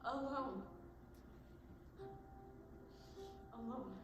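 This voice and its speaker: High-voiced grieving girl in an echoey hall played by a woman. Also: normal speed, highs cut off, over music, close to an everyday voice. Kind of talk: stage play monologue. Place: stage performance recorded from afar. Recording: echoey stage hall, distant mic, noisy.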